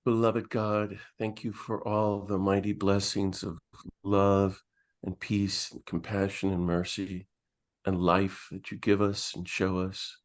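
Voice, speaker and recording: A priest with a deep, low voice leads a solemn prayer. He speaks in a slow, measured cadence. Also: little pitch movement. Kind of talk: spoken prayer.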